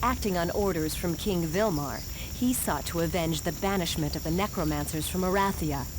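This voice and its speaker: A woman playing a grave, dutiful young queen in a video game cutscene, speaking in a solemn, measured, formal way. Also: fairly low pitch, background noise, natural voice. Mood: stern, resolute. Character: grave, dutiful young queen addressing her dead father. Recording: music behind the voice.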